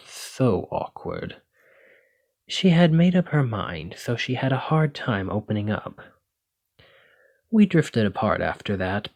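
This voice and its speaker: A man playing a gentle, bookish dragon-blooded librarian and devoted boyfriend in an ASMR roleplay, speaking in an earnest, reassuring way. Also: mid-range voice. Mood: wistful, reflective.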